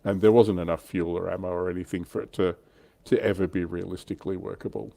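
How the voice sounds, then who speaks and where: A man with a low, mellow voice talks through history like a livestream host. His delivery is informal and keen, recorded close and dry. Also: steady tone, fast talker, vocal fry, no room sound. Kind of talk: casual explanatory history chat.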